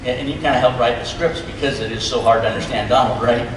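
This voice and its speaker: A man's voice: mid-range voice, quick pace. Echoey man hosting a stage panel. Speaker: panel host interviewing voice actors. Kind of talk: live on-stage interview. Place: large convention hall stage. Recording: echoey hall from far off, noisy.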